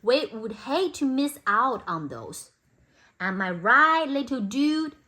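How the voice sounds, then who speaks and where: A woman's voice: high-pitched, big pitch swings, slow pace, bright, forceful tone, heavily acted. A woman reading the part of a laid-back male cat owner who talks in casual surfer-dude slang in a children's storybook. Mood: friendly, relaxed.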